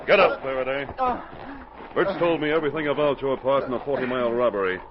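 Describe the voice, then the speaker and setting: Commanding, animated police sergeant, old radio. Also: male voice, medium pitch, fast talker. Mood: firm, resolute. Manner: firm, commanding, clearly enunciated. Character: steadfast male police sergeant of the frozen Yukon. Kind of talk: 1940s radio drama acting. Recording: dull 1940s radio recording, noisy.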